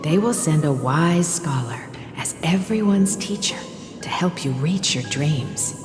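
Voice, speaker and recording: A woman playing a gentle, doting motherly figure in a game, speaking in an affectionate, soothing storytelling manner. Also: deep voice, lively intonation, unhurried. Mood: tender storytelling. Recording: reverberant hall-like echo with music behind.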